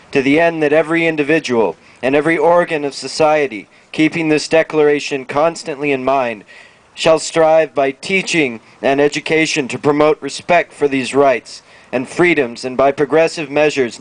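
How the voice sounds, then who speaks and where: A man reading a formal document aloud at a public event in measured, deliberate phrases. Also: on the high side, low-quality recording. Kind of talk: formal read-aloud of a declaration. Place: outdoor town plaza.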